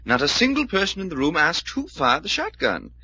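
A man acting in 1940s radio style as a polite, cautious, earnest English gentleman adventurer with a clipped British accent. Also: a little high, wildly swooping pitch, brisk, slightly rough, exaggerated voice. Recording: muffled old radio recording.

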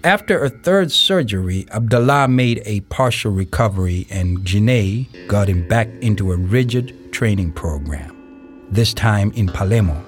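A man reading scripted narration for an audio play in a measured storytelling way. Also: fairly low pitch, animated. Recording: music behind the voice. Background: music.

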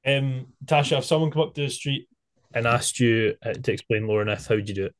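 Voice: medium pitch, brisk. A podcast host in their twenties, leading a casual group interview with self-deprecating banter. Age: 20s.

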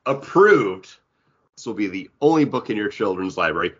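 Male co-host, lower register, jokey and mock-outraged, conversational, muffled lo-fi recording. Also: very animated, moderate pace, background noise. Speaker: podcast co-host. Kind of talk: casual banter.

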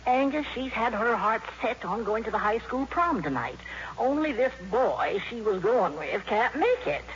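An actress playing a brisk, wry, loving old grandmother in a 1950s radio comedy. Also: fairly high pitch, very animated. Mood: wry, bossy. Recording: muffled, noisy old radio broadcast.

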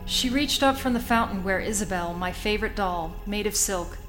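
A woman's voice: medium pitch, moderate pace, over music, natural voice. An actress playing a haunted, frightened young Sicilian woman who narrates her bloody visions in a radio play. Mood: haunted, tense. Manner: solemn, vivid storytelling that breaks into panicked cries.

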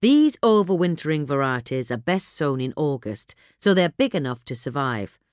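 Lively woman narrator, close and dry. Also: low-pitched, normal speed, dry recording. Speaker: article reader. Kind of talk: scripted gardening narration.

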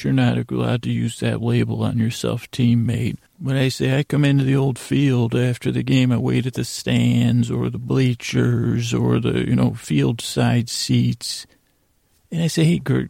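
A man hosting a sleep podcast with a rambling bedtime monologue, gravelly and halting, often trailing off mid-sentence and meandering on. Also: mid-range voice, warm tone, no echo, clean studio sound. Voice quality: gravelly, creaky.

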